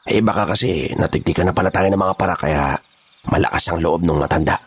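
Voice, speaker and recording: A man playing a gruff, blunt kidnapper boss in a horror audio drama. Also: sits low, a little fast. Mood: deferential. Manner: rough, street-tough, blunt. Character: gruff, menacing male kidnapper gang boss.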